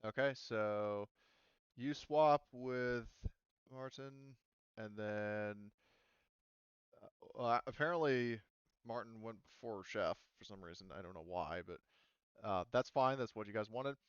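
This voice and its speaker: A man acts as game master for a tabletop roleplaying game. His voice is clear and smooth at an average pitch, and he talks informally with some swearing. The sound is dry and slightly muffled. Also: unhurried, dry recording.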